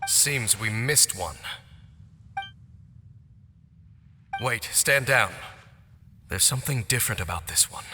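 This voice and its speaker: An actor playing a stoic, world-weary warrior cursed for centuries, speaking in formal, solemn, measured phrases in a video game. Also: male voice, mid-range voice, animated, natural voice. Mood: stern. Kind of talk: video game character acting. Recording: long reverb, faint music behind.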